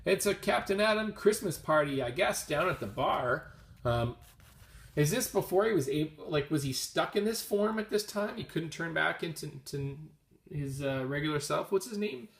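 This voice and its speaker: A man with a fairly high voice talks casually to his viewers in a roomy, echoing space. He rambles along as a hobby vlog host, thinking out loud in a chatty way. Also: animated, normal speed, creaky. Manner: chatty, thinks aloud and addresses viewers directly. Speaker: host of a comics video channel.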